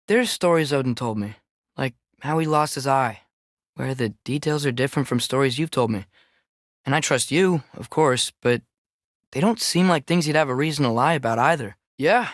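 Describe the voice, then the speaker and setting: A teenage boy adventurer voiced by a man from a Norse fantasy game, with a fairly high voice. He sounds curious and earnest, a little hesitant, speaking naturally. The recording is very dry, with no room sound. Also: moderate pace, dry recording, close to an everyday voice. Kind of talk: naturalistic video game voice acting.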